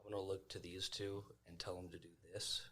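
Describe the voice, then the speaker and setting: A man's voice: low-pitched, flat delivery, fairly quick, bright, forceful tone, husky. A man playing a tabletop role-playing game, speaking casually as himself and as his character.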